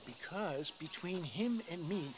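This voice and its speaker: An actor playing a flamboyant, scheming male snake-oil salesman in a video game, speaking in a theatrical, grandiloquent sales-pitch manner. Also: lively intonation, measured pace, a little hiss or hum. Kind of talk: video game cutscene acting. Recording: game soundtrack music underneath.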